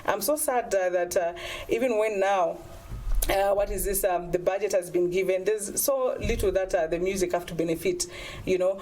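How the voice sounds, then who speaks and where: A woman's voice: mid-range voice, normal speed, a little mellow, poor audio. A middle-aged woman from Zambia, a former singer, speaking earnestly and persuasively in an interview, often saying "you know". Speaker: guest, former singer and music advocate. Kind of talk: interview answers.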